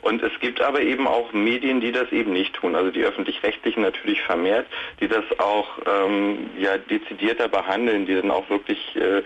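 A man's voice: fairly low pitch, steady tone, fairly quick, hardly any pauses, a bit bright. A man in his late thirties calling a radio show, speaking casually in a reflective, conciliatory way over a dull phone line with noise. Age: late 30s.

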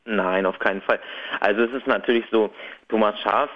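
A man's voice: on the low side, brisk, lo-fi sound. A male caller from Bremen on a radio phone-in, hesitant and full of fillers. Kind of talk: phone-in chat.

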